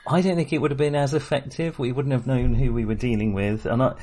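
A man co-hosting a film discussion, talking casually and haltingly with a wry manner. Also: medium pitch, fairly even intonation, warm tone. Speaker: film podcast co-host.

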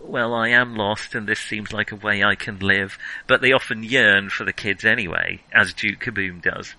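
A man's voice: fairly low pitch, fairly even intonation, moderate pace, bright, forceful tone, low-quality recording. A man hosting a casual film discussion, enthusiastic and analytical, often stammering as he searches for words. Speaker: film podcast host.

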